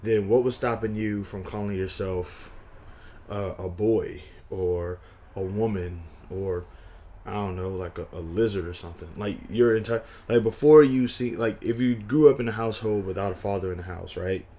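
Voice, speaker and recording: A hoarse man vlogging casually, rambling with frequent restarts and a wry, conversational manner. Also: lowish voice, moderate pace, dark tone, slight background noise. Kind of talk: casual talking-head vlog.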